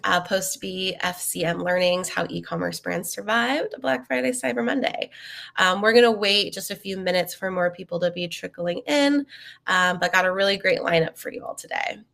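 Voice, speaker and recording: A woman's voice: lowish voice, normal speed, gravelly, a bit bright. A young woman, a bit sniffly from a cold, hosting a live webinar in a chatty, upbeat way with many ums and uhs. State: sniffly, getting over a cold. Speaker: webinar host.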